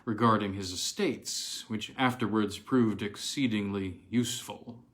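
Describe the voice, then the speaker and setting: A man narrating a classic ghost story as a literary audiobook, reading expressively in a measured way with deliberate pauses. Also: on the low side, steady tone, a bit bright.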